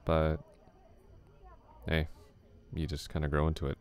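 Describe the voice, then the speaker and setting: A man playing a laid-back flirty classmate, very deep. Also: completely flat delivery, measured pace, darkish tone. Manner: casual, easygoing, chuckles often. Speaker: ASMR roleplay voice actor. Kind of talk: ASMR roleplay, soft close talk.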